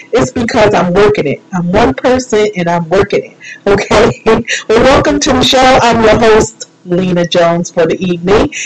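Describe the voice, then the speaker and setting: Female live radio host, mid-pitched, informal and playful, rambling freely with self-deprecating asides. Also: poor audio.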